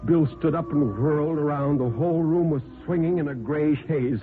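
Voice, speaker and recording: A man narrating a story as a 1950s radio storyteller, with theatrical, suspenseful, deliberate phrasing. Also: a little high, music in the background. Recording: tinny band-limited vintage radio recording.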